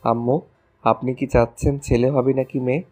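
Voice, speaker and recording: A man's voice: medium pitch, flat delivery, moderate pace, warm tone, lightly acted. A man playing a playful, romantic young husband in an audio love story, teasing and tender.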